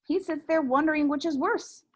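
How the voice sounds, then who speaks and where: A woman's voice: high voice, moderate pace. A woman teacher reading a picture book aloud expressively and asking young listeners questions.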